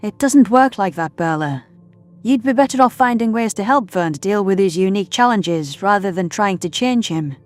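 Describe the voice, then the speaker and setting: Woman acting patient, firm scientist, mid-pitched. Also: expressive, darkish tone, natural voice. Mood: patient explaining. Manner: explanatory, measured, firm. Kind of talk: audio drama character acting. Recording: music under the voice.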